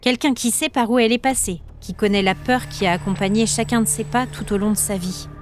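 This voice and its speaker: A woman narrating a scripted story podcast in a measured, suspenseful, dramatic way, with short weighted phrases. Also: mid-range voice. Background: music.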